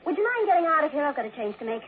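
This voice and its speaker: An actress playing a scornful, sharp-tongued glamorous stage dancer in a 1960s radio drama, with a cutting, contemptuous manner. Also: female voice, sits high, big pitch swings, very fast. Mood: scornful, irritated. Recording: tinny old radio, crowd noise behind.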